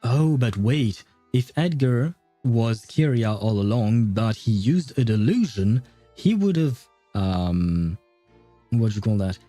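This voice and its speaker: Dark, mellow-voiced man livestreaming. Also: medium pitch, animated, slow. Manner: thinking aloud, informal, mild swearing. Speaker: livestreamer playing a story game. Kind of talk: casual livestream commentary, reacting and theorizing. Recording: roomy echo, faint music behind. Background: faint game music.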